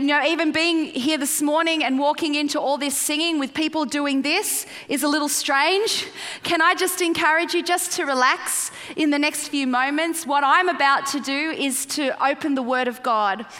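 Bright high-voiced Australian woman preaching. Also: long reverb tail. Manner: chatty, playful, asks the crowd questions. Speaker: guest pastor. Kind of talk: sermon and prayer. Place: large church auditorium.

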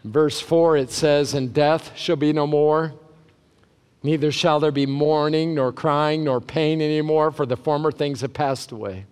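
High-voiced preacher man in a hall. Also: steady tone, a little mellow, clean tone. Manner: emphatic, with deliberate pauses and repeated short phrases. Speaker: pastor preaching. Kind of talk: sermon. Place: large indoor hall, preaching to a congregation. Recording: reverberant large hall.

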